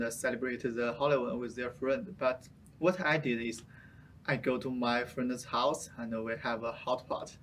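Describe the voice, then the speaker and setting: A young man from China, a student answering interview questions in a halting way. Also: mid-range voice, fairly bright tone, a little hiss or hum. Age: young adult. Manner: halting, searching for words.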